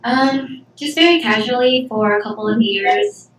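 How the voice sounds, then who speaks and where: Woman in her twenties, somewhat high voice, hesitant, recorded in an echoey room with faint noise. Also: unhurried. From Philippines. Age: 20s. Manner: soft-spoken, hesitant with many ums. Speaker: interviewee. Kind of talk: casual interview answers.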